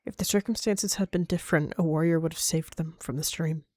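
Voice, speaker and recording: A woman's voice: low-pitched, fairly even intonation, a little fast, darkish tone, no echo, studio quality, close to an everyday voice. A woman voicing a composed, pragmatic and dismissive cat clan leader in a dramatic animal roleplay. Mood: calm, regretful.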